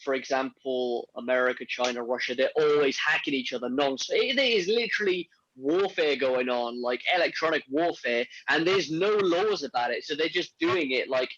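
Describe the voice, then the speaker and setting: A man's voice: medium pitch, moderate pace, hardly any pauses, a bit bright, dry recording, muffled recording. A man chatting casually about health supplements, rambling with many restarts and fillers. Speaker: podcast guest on men's health. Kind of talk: casual conversation.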